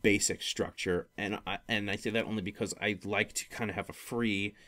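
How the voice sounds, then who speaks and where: Low-voiced man, rambling casual podcast talk. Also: fairly even intonation, pushed and bright. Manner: rambling, halting, self-correcting. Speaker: podcast host.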